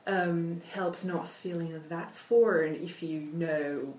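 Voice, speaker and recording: A woman's voice: on the low side, steady tone, slow pace, a little mellow, far from the mic. A woman whose first language is Italian, talking about literature in an interview, thoughtful and hesitant, with many fillers and restarts. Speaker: literature academic. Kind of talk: conversational interview. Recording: echoey room, off-mic.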